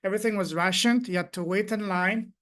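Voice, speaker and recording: A man's voice: high voice, brisk, a bit bright. A man from Afghanistan hosting an interview podcast, asking questions and giving short, attentive acknowledgements. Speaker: podcast host.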